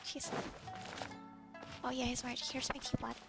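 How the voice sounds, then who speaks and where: Gamer: woman, muttering under her breath, raspy fry, high. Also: fairly even intonation, unhurried. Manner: muttering, half-whispered, swearing, self-annoyed. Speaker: gamer streaming a playthrough. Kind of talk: casual gaming commentary. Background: loud game music.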